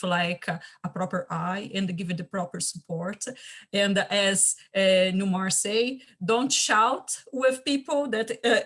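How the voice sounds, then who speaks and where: A woman's voice: mid-range voice, fairly bright tone. A woman from Brazil with a Brazilian Portuguese accent, speaking earnestly on an online panel and often restarting to search for words.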